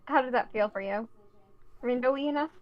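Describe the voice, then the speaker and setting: A woman with a somewhat high voice who brainstorms dialogue in a loose, casual chat. She thinks aloud and tries out lines. She laughs often as she goes. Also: brisk, muffled recording.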